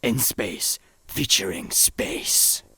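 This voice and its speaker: A man's voice: fairly low pitch, animated, very slow, sharp tone, breathy edge, no echo, studio quality, full character voice. A man with a Scottish accent playing a grumpy, blustering henchman in a comic sci-fi radio play. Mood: grave disgust.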